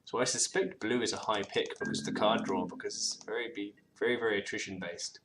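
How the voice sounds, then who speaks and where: A man's voice: on the low side, fast talker, creaky. A man, co-hosting and chatting through an online card game, restarting words and murmuring card names. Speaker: co-host playing a card game. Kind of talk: casual gameplay commentary. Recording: faint background noise.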